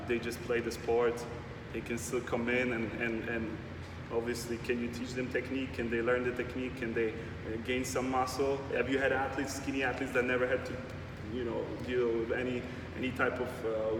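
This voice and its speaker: A young man from Europe interviewing a guest, asking questions with many restarts. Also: mid-range voice. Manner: halting, restarts a lot, friendly. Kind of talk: casual interview. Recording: echoey room, mic far off, noisy.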